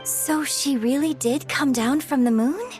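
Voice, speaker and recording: A woman's voice: sits high, unhurried. A woman playing a courteous, modest young opera troupe director in a video game, speaking politely and eloquently. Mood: curious. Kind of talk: video game character acting. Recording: music behind the voice.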